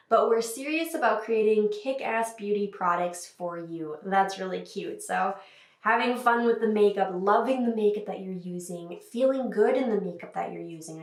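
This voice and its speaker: A young woman with a stuffy cold voice, chatting upbeat through a makeup tutorial with frequent fillers. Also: medium pitch. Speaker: beauty vlogger. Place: small room indoors. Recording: echoey room.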